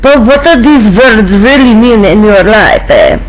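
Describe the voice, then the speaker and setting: A woman's voice: on the high side, expressive, a little slow, poor audio. A woman from Canada vlogging informally, reading aloud and adding casual, offhand asides. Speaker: vlogger sharing a fan song and reading news.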